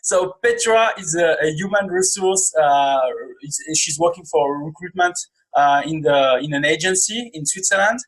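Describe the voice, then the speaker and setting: A man in his thirties hosting a conversational podcast interview, speaking in halting, hesitant phrases. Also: fairly high pitch, a bit bright, rough recording. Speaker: podcast host. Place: small indoor room, video call.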